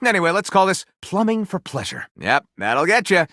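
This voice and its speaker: Theatrical, swooping storyteller. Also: male voice, high-pitched, very animated, moderate pace, bright, forceful tone, no room sound, clean studio sound, exaggerated voice. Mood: wry. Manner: grandiose, mock-dramatic. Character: whimsical, theatrical male storyteller, eager and mock-literary. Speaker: video game character voice actor. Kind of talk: theatrical storybook narration with comic commentary.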